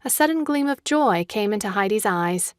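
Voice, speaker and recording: Woman, audiobook narrator, mid-range voice, measured scripted storytelling, dry close recording. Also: medium pitch, expressive, clean tone, dry recording.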